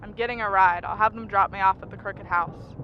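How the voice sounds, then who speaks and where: A woman's voice: medium pitch, fairly even intonation, fairly quick, dull audio, slight background noise. An actress playing a teasing, blunt young female friend talking casually through a phone effect in an audio drama. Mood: concerned, brisk.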